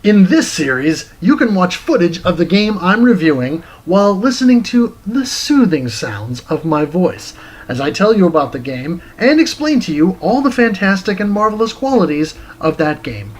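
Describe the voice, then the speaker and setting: A man's voice: high-pitched, very animated, normal speed. A man hosting a show, reading a scripted video game review as narration.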